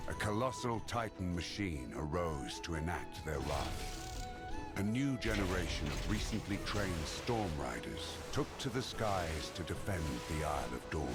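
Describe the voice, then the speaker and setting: Deep-voiced, expressive male story narrator. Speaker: gaming video creator narrating. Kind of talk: scripted fantasy story narration. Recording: loud music behind the narration.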